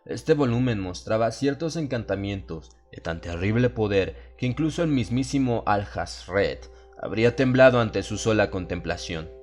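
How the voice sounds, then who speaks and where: A man's voice: lowish voice, lively intonation, normal speed, few pauses, darkish tone, soft background music. A man narrating a horror audiobook in a solemn, dramatic style.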